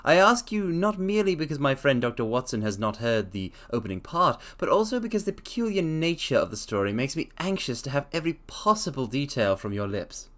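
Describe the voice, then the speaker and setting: Man reading as a sharp, lecturing Victorian detective. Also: mid-range voice, sing-song, fairly quick, few pauses. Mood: assured. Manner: precise, lecturing, emphatic.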